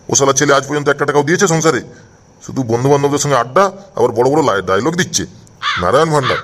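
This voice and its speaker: A man's voice: on the high side, close to an everyday voice. A man voicing a dismissive old father-in-law in an amateur comedy cartoon, scornful yet reassuring. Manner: gruff-worded, dismissive, reassuring.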